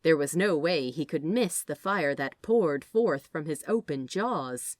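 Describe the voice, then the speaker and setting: A woman's voice: low-pitched, lively intonation, unhurried, dry recording, clean studio sound. A woman reading fiction aloud as a narrator in a scripted storytelling style.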